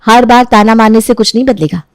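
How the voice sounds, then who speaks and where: A woman playing a young daughter-in-law in a story, firm and measured, polite but standing her ground. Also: a little high, dry recording, clean studio sound, pitched above the performer's own voice, close to an everyday voice. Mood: firm, defiant.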